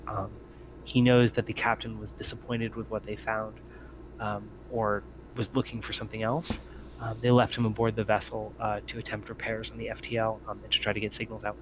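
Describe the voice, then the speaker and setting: A man narrating a tabletop roleplaying game as game master, with a halting, hesitant delivery. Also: on the low side, lo-fi sound. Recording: faint background noise.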